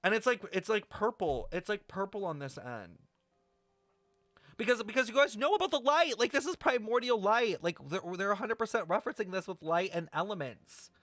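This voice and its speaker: A brash, sweary livestreamer chatting casually and rambling through an explanation, playfully scolding viewers with theatrical emphasis. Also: medium pitch. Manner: animated, theatrical emphasis with drawn-out words. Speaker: streamer reacting to a video game story. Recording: roomy echo, faint music behind.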